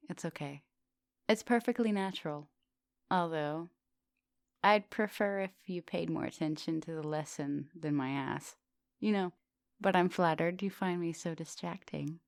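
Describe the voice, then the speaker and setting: Low, creaky, flirtatious vampire professor played by a woman. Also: dry recording, studio quality. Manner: teasing, formal wording, soft dominant, often dropping into whispers. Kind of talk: intimate ASMR roleplay.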